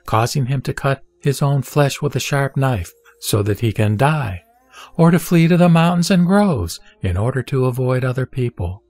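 A man reading a Buddhist scripture aloud in a measured, solemn recitation. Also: mid-range voice, darkish tone, no room sound. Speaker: sutra reader.

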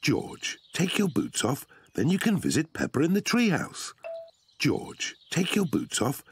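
A British man voicing a genial cartoon daddy pig, kindly and playfully pompous, enunciating clearly. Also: fairly high pitch, huge pitch swings, measured pace, slight background noise, full character voice. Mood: kindly instructing.

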